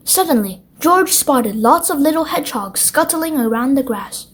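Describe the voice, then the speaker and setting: A woman reading a children's bedtime storybook aloud as narrator, with expressive delivery. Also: high voice, moderate pace, slight background noise.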